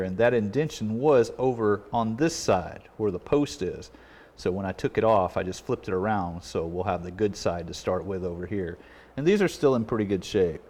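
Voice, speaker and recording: A man's voice: fairly low pitch, darkish tone. A middle-aged man giving a casual, plain-spoken, step-by-step repair tutorial at an indoor workbench. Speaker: repair video host. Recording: clearly noisy workshop recording.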